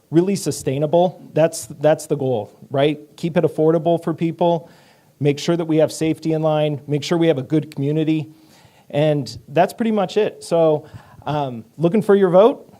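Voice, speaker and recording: Mellow, slightly high-voiced man speaking off-the-cuff. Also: steady tone, moderate pace. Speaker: candidate giving a speech. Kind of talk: unprepared informal speech.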